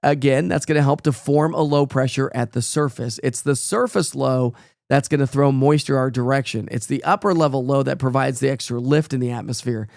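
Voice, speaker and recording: A man's voice: mid-range voice, steady tone, a little fast, creaky, a little mellow, no room sound, clean studio sound. A male meteorologist, giving a chatty, rambling forecast while losing his voice to a cold. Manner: chatty, folksy, rambling with fillers. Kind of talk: casual live weather forecast.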